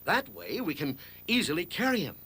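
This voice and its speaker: Man acting a high-voiced, earnest poor father. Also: wildly swooping pitch, normal speed, pushed and bright, breathy edge, heavily acted. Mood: determined. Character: bewildered, exasperated poor father with a donkey. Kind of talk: animated fable dialogue.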